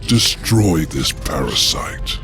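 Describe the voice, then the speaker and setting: An actor playing an imperious, manipulative demon lord in a video game, with a deep, gravelly voice and a grandiose, commanding manner. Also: male voice, big pitch swings, slow, full character voice. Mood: contemptuous, commanding. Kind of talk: video game fantasy acting. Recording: dramatic music behind voice.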